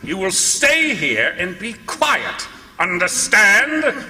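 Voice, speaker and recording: A man's voice: sits high, wildly swooping pitch, takes it slowly, bright, forceful tone, exaggerated voice. A man playing a cruel, domineering villain in an old-time radio melodrama, commanding and mock-polite. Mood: gloating, menacing. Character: cruel, domineering male villain, gloating and menacing. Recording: reverberant hall, faint music behind.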